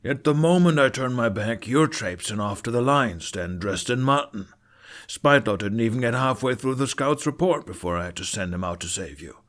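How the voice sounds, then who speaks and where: A man voicing a stern, gruff, protective father who is a king in a fantasy story. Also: medium pitch, animated, moderate pace, fairly bright tone, close to an everyday voice. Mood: scolding. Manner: scolding, commanding, protective.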